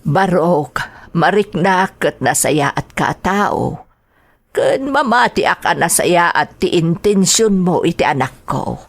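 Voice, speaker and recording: A woman's voice: on the low side, expressive, slow pace, dry recording, heavily acted. Cautious, probing Ilocano mother, dry sound. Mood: wary, probing. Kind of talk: Filipino radio drama acting.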